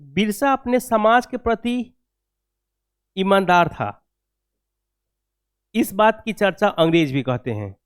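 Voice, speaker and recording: A man's voice: high voice, expressive, slow pace, long pauses, clear, resonant tone, no room sound, clean studio sound. A man teaching history for exam coaching, lecturing didactically, repeating key phrases and asking rhetorical check questions. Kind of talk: classroom lecture with dictated answer points.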